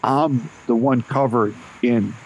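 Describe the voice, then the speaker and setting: A man's voice: medium pitch, takes it slowly, slight background noise, close to an everyday voice, low-quality recording. An actor playing a shaken young man covered in gore, talking bluntly in a panic. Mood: tense. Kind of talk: audio drama acting.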